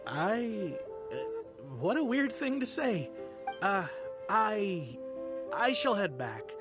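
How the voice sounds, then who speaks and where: A woman's voice: mid-range voice, sing-song, slow pace. A woman playing an anxious, polite, superstitious client in a video game, earnest and easily flustered. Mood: stunned, awkward. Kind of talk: video game character acting. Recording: music behind the voice.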